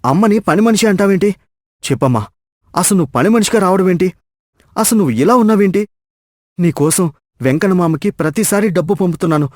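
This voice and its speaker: A man's voice: sits high, animated, a little fast, pauses often, darkish tone, no room sound, clean studio sound, voice pushed up. A man voicing an indignant, devoted adult son in a cartoon story, speaking in emphatic questions. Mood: shocked, indignant. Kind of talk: moral story cartoon voice acting.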